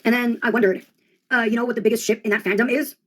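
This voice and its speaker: A young woman with a somewhat high-pitched voice performs a loose, rambling comedy monologue. Her delivery is deadpan and ironic, full of casual slang. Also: quick pace.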